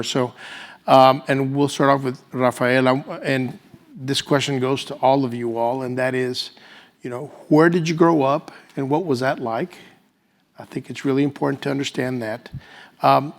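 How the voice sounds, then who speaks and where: A man hosting a panel, speaking semi-formally and off the cuff in a halting way with restarts. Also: medium pitch. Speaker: panel moderator and host.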